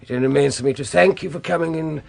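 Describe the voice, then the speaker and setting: An actor with a British accent playing a formal, officious, slightly hesitant family solicitor in a 1970s radio drama. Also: male voice, fairly high pitch, very fast, creaky. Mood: formal, solemn.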